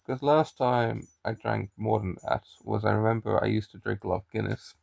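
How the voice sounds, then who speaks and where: Young man, mild Irish accent, rambling vlog chat, deep creaky voice. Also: fairly even intonation, moderate pace, a little mellow, dry recording. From Ireland. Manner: rambling, chatty, frequent restarts. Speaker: daily vlogger. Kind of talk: casual chat to camera.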